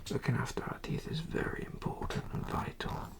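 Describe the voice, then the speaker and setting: A person whispering slowly and soothingly in a breathy, creaky voice as a dentist instructing a patient in an ASMR roleplay. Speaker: ASMR creator playing a dentist. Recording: lo-fi close-up ASMR recording.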